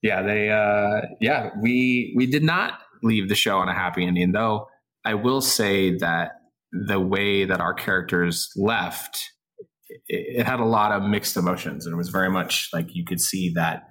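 Man, 40s, low-ish voice; self-deprecating, laughing podcast guest. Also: unhurried, fairly bright tone. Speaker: actor guest. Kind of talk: casual podcast interview.

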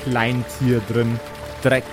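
A man's voice: mid-range voice, monotone, very slow. Guy running a fantasy tabletop game, takes his time, music going behind him. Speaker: game master.

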